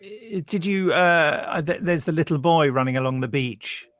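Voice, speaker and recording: A British man co-hosting a podcast, recounting things in casual chat as an animated storyteller. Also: fairly high pitch, normal speed, no echo, faint music behind. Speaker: podcast co-host.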